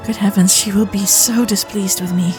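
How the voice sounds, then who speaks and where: A woman voicing a frank, flustered young Gilded Age society lady in a romantic ASMR audio drama, speaking earnestly in formal period phrasing with breathy asides. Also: mid-range voice, steady tone, moderate pace, music in the background, natural voice. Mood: teasing, candid.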